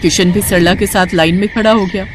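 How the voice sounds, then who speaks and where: A woman narrates a moral cartoon tale in a scripted storytelling style. Her pitch is middling, and there is clear background noise behind her voice. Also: steady tone, brisk.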